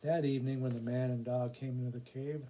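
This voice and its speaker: A man reading a children's story aloud with an expressive storyteller's emphasis. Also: mid-range voice, fairly quick, slight background noise. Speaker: volunteer story reader.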